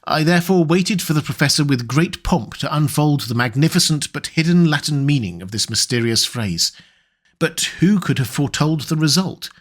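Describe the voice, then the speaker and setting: A man narrating a classic novel as an audiobook in a measured, even reading. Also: fairly high pitch.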